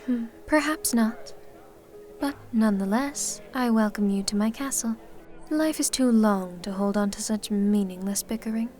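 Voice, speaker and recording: A woman's voice: mid-range voice, moderate pace, a little mellow, lightly acted. A woman playing a refined vampire lady of a castle in an ASMR audio roleplay, speaking with cool, formal courtesy. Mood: cool, courteous.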